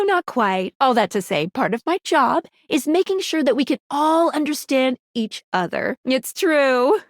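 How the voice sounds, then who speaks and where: A chatty, upbeat sentient gadget guiding the player through a video game. The voice sits high and swings around in lively fashion, playful and nerdy as it explains things. It is a dry, close studio sound. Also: female voice, dry recording. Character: chatty quirky female sentient object guide, upbeat and nerdy. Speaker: game guide character. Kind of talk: scripted video game character dialogue.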